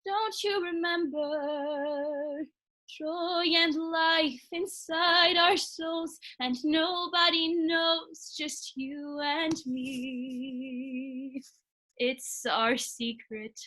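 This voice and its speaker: A woman singing the part of a dreamy young woman in love, from a musical, with soft high notes. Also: fairly even intonation, extremely slow, faint music behind, heavily acted. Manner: sung softly, with rising high notes. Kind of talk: singing musical theatre lyrics.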